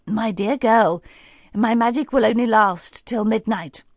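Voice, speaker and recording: A woman's voice: on the high side, measured pace, no echo, clean studio sound, pitched above the performer's own voice. A woman voicing a kindly, bustling old fairy godmother who talks in a cosy, motherly way. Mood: serious.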